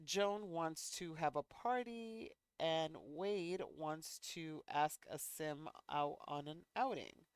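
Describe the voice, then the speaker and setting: A woman's voice: low-pitched, slow pace, few pauses, clear, resonant tone, dry recording. A woman hosting a let's play, chatting casually and unhurriedly with fillers, a bit sniffly. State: sniffly, slightly congested. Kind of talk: casual gameplay commentary.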